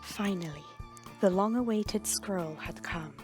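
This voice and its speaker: A woman storyteller reading scripted fiction dramatically, with suspenseful, breathy phrase endings. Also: mid-range voice, a little slow, over music.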